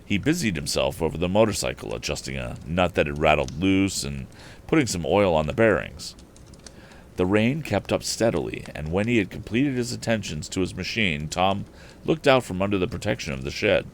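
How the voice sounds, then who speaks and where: A man's voice: low voice, expressive, moderate pace, a little hiss or hum. A man reading an old adventure novel aloud as a storyteller, expressively and with the occasional stumble. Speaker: storyteller on a live stream.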